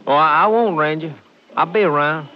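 An actor with an American accent playing a polite, defensive Texas ranch hand with a hesitant, rustic way of talking in a 1950s radio drama. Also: male voice, a little high, normal speed, lightly acted. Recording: muffled, noisy old radio recording.

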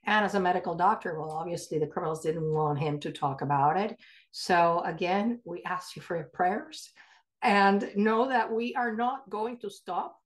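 A woman with a fairly low voice speaks as a podcast co-host. Her delivery is earnest and emphatic, unscripted, with halting pauses in the middle of sentences. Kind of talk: earnest unscripted advocacy talk.

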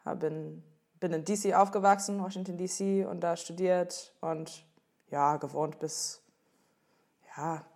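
A young American woman, a native English speaker, answering interview questions in halting German, often pausing to search for words. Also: fairly low pitch, steady tone, darkish tone. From United States. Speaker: guest.

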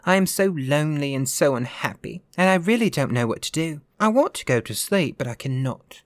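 A man performs an ancient male ghost in a storybook tale, worn out and lonely. The delivery is soft, mournful and dreamy, with an old-fashioned gravity. The sound is close and dry. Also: mid-range voice, lively intonation, unhurried, no room sound, lightly acted. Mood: despairing.

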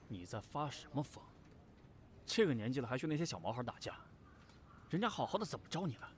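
A man's voice: mid-range voice, sing-song, fast, slight background noise, close to an everyday voice. A man acting a stern, responsible friend in an audio drama, with a scolding, no-nonsense manner. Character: stern, responsible male friend who scolds and looks after others.

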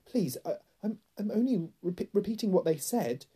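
A scared young storybook boy, played by a man, pitched high. He stammers and trembles through his words, eager to please and pleading. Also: normal speed, dark tone, close to an everyday voice. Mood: panicked. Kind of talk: storybook character voice.

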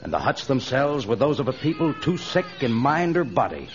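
A man's voice: medium pitch, very animated, normal speed, slight background noise. A man narrating an adventure serial in dramatic, scripted old-radio style. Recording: dull old radio recording, music behind. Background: music.

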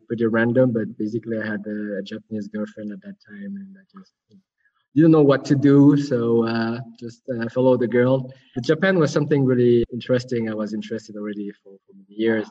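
A man in his thirties from Switzerland talks through his background as a podcast guest. He wanders and corrects himself as he goes, and his voice sits at an ordinary middle pitch. He sounds set back from the mic on a dull, muffled call line. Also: a little mellow, husky. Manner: rambling, self-correcting storyteller. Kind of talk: conversational interview over a call. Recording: distant, dull remote line.

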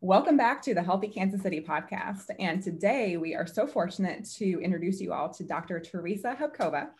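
A woman's voice: lowish voice, lively intonation, brisk, a little mellow. A woman co-hosting a podcast, introducing the guest in an upbeat way and laughing often. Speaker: podcast co-host. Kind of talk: casual podcast intro and interview.

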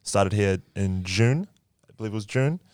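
A man's voice: on the low side, lively intonation, normal speed, darkish tone, clear, resonant tone, no room sound, clean studio sound. A man in his twenties with a New Zealand accent, a podcast guest telling his story in an earnest, reflective way. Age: 20s. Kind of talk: casual chat.

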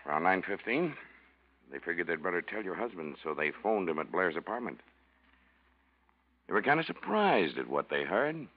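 A calm police detective with a very deep voice and a lively, rolling delivery, laying out facts in a dry, matter-of-fact way. The recording is dull and old, like vintage radio. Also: male voice, normal speed, pauses often, breathy edge, heavily acted. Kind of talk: 1940s radio acting.

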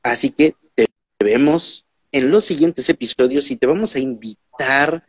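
Husky Mexican man hosting a podcast. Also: mid-range voice, a little slow, no room sound. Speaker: podcast host. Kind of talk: casual interview chat. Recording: dull lo-fi sound.

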